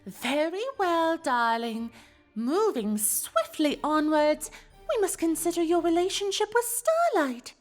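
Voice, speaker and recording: A woman's voice: extremely high pitch, very animated, takes it slowly, soft background music, exaggerated voice. A woman playing a refined, teasing, gossipy female pony with theatrical, genteel phrasing in a cartoon fan audio drama.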